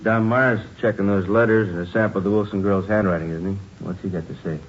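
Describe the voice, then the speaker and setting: A man's voice: fairly even intonation, fast, lightly acted. A man playing a plain-spoken, puzzled police detective in a radio drama, with a casual, questioning manner. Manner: casual, questioning, low-key. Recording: muffled, noisy old radio recording.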